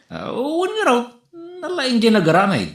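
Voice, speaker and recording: A man's voice: very high voice, huge pitch swings, moderate pace, fairly bright tone, echoey room, heavily acted. A man with an Ilocano accent playing a flustered, boastful married man who stammers and hesitates. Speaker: actor in a comedy skit. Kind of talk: comedy skit acting.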